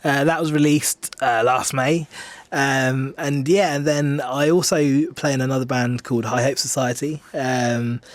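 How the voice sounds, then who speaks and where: A man in his twenties with an English accent talks in a casual, self-deprecating way, like a musician being interviewed. His pitch is average and he often hesitates and rambles. The sound is dry, with little room in it. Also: steady tone, measured pace, no echo. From England. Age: 20s. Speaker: singer-songwriter being interviewed. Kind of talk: casual radio interview chat. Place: radio studio.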